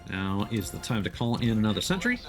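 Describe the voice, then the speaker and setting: A man's voice: lowish voice, very animated, a little fast, faint music behind. A man giving casual live game commentary, thinking aloud and explaining things as he plays. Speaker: streamer, gamer.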